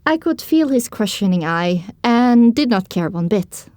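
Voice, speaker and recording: A woman narrating as a young pony heroine, telling her own story in descriptive, expressive scripted audiobook style. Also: medium pitch, unhurried, no room sound.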